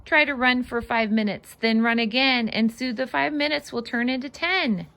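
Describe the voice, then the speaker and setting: A woman reading a children's storybook aloud in an expressive, sing-song way, with casual asides to her young listeners. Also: a little high, moderate pace, gravelly. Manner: animated, sing-song rhyming delivery. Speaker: children's read-aloud host and fitness instructor.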